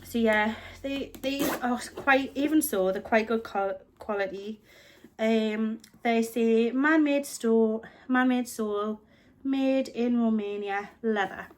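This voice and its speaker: A British woman talks casually about her thrift finds, her voice sitting a little high for a woman. She is a bit snuffly from a chest infection and rambles, often stopping to correct herself. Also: slow, a little hiss or hum. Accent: British English. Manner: chatty, rambling, self-correcting. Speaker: reselling vlogger. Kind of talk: casual vlog chat.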